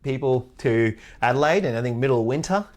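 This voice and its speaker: A man from Thailand raised in Australia, speaking with an Australian accent as an interview guest, relaxed and laughing often. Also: medium pitch, a little fast. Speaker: guest, head of sales operations. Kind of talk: casual interview chat.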